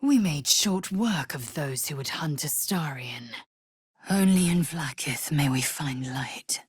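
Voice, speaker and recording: A woman's voice: low voice, animated, slow pace, slightly rough, full character voice. A woman playing a fierce, proud alien warrior in a video game, haughty and clipped, with formal, disdainful phrasing. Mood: grave, measured, then hushed. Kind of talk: video game character voice lines.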